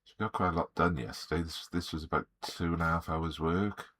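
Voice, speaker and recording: A middle-aged man with a Welsh accent talks in a deep, low register, his voice a little croaky from a sore throat. He chats in an easygoing way and greets viewers one by one as he works. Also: fairly even intonation, fairly quick, fairly bright tone. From Wales. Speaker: artist streaming live. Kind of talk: relaxed live-stream chat while drawing.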